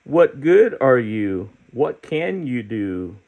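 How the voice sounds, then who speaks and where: A man's voice: medium pitch, sing-song, takes it slowly, gravelly, phone-quality sound, full character voice. A man doing bossy scolding children. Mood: challenging.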